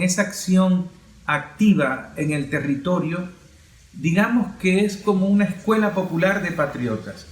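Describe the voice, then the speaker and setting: A man giving political commentary in an emphatic, repetitive rhetorical manner. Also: on the high side. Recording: roomy echoing sound.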